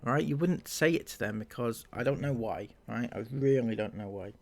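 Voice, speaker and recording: A man's voice: mid-range voice, creaky, a little mellow. A man hosting a commentary channel, reading viewer comments aloud and mocking them, with frequent laughs and sarcasm. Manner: sarcastic, laughs often, drawn-out delivery.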